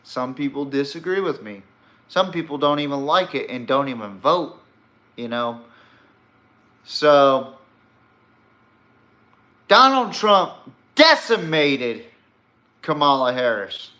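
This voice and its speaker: A man's voice: medium pitch, animated, slow pace, pauses often, sharp tone. A man hosting a podcast, giving casual, opinionated commentary in a rambling, blunt and emphatic way. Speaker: sports podcast host.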